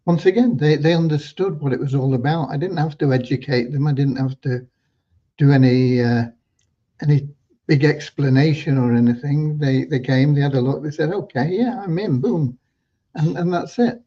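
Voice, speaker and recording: A man with a mellow, slightly hoarse voice hosts an informal online update for members. His delivery is halting and rambling, often doubling back on words. The audio is dull and lo-fi. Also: fairly high pitch. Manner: rambling, halting, with hesitant repeats. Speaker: host giving business updates to members.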